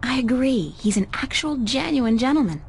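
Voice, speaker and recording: Casting: young game heroine, casual and curious, fairly high voice, faint noisy ambience behind. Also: female voice, lively intonation, normal speed. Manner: inquisitive, casual. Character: friendly, curious young woman, newcomer to the city. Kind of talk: video game voice acting.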